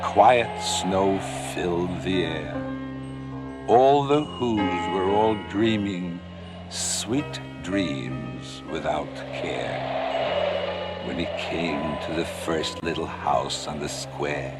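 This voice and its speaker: A man narrating a rhyming storybook in an expressive, sing-song way. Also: low voice, drawn-out words, loud music behind the voice. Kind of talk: storybook reading in rhyme.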